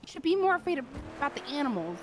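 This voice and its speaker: A woman's voice: high voice, big pitch swings, racing, noisy background. A woman improvising casual roleplay chat with emphatic, playful storytelling. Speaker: roleplay game player.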